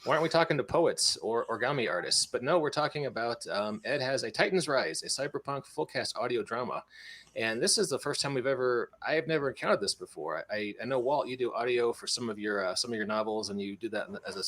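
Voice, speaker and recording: A male podcast host with an American accent and an average-pitched voice. He talks informally and jokes along the way, in the loose style of casual chat. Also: expressive, quick pace, a bit bright. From United States. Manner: jokey, informal.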